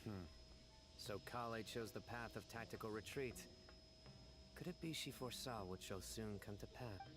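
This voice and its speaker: A man voicing a serious, deadpan young man in a video game, speaking in a measured, formal way. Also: on the low side, over music, natural voice. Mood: mock solemn.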